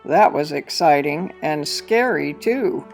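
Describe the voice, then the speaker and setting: A woman voicing an excitable young boy pelican in an expressive read-aloud of a children's picture book. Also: low voice, slow, full character voice. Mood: fond, excited. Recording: music behind the voice.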